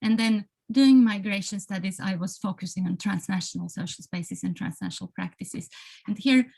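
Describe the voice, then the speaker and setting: A woman's voice: mid-range voice, moderate pace, creaky, a little mellow. A Croatian woman with a Croatian accent giving a hesitant academic talk on a video call, full of restarts and fillers. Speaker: panelist.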